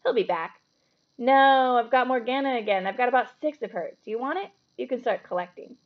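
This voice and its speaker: A woman's voice: a little high, lively intonation, brisk, narrow, tinny audio, voice pushed up, close to an everyday voice. A woman voicing a blunt, casual young schoolboy with an offhand, emphatic way of talking in a storybook. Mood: offhand, amazed.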